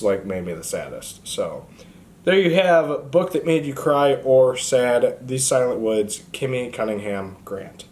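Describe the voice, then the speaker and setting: A man with an average-pitched voice talks as the host of a book channel. His delivery is informal and conversational, wandering a little as he goes, like someone chatting straight to viewers. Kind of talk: casual book chat to camera.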